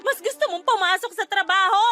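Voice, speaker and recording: Very shrill, indignant grieving Filipino mother. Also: fairly quick, bright, forceful tone. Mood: indignant, tearful. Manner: indignant, tearful, argumentative. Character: grieving, defensive young mother and wife. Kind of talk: Filipino radio drama acting.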